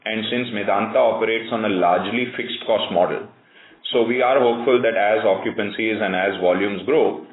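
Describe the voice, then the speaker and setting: A man with a lowish voice speaks as a company executive on an earnings call. He is measured and careful in corporate phrasing. The sound is a thin, echoey conference phone line. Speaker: company executive answering analysts. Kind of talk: earnings call question-and-answer.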